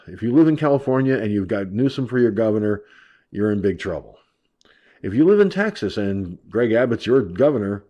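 A man hosts an online talk radio rant in a stuffed-up, nasal voice. He sounds brash, crude and mocking. His pitch sits fairly low. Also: mellow.

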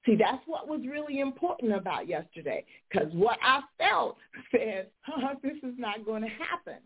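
A woman's voice: a little high, animated, moderate pace, breathy edge. A woman hosting a faith talk show, speaking fervently and preaching, congested and recovering from illness. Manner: fervent, decreeing, rising to preaching fervor. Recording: tinny phone-line sound.

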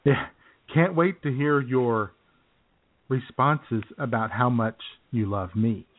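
A man's voice: mid-range voice, slow. A man co-hosting a podcast, chatting casually, joking and sarcastic, laughing often. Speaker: podcast co-host. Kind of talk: casual chat. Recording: tinny phone-like sound.

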